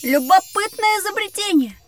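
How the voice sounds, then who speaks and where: A woman playing a curious young boy in a children's educational audio drama, with wondering, expressive storytelling. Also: very high voice, moderate pace, faint music behind. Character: curious young boy on a time-travel adventure.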